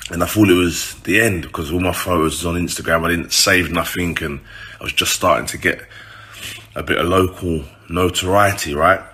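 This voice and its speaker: A man's voice: deep voice, steady tone, moderate pace, sharp tone, slight background noise. A man with a British urban accent telling a story casually to his followers, informal, slangy and sweary.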